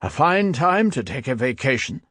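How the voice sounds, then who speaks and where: A man's voice: mid-range voice, big pitch swings, a bit bright, dry recording, voice pushed up. Man voicing a lazy, mocking old soldier. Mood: amused. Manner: casual, mocking, unhurried. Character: weary fifty-year-old soldier, lazily amused.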